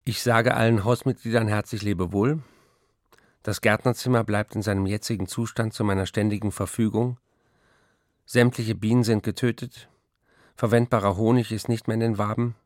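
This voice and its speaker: A man's voice: on the low side, no echo, clean studio sound, lightly acted. An actor playing an embittered, weary male writer who reads out his letters in a radio drama. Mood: resigned.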